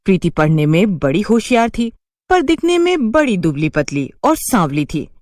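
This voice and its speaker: A woman's voice: medium pitch, very animated, moderate pace, darkish tone, dry recording, clean studio sound. A woman telling a scripted cartoon story as its narrator.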